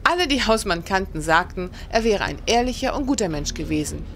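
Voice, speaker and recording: An actress as a middle-aged tavern landlady in a period radio play. She speaks firmly and keeps her guard up, at a medium pitch, with some background ambience. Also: animated, fairly quick, a bit bright, slight background noise. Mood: firm, explanatory. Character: plump cozy innkeeper of about forty, guarded, plain-spoken. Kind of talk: historical radio play acting.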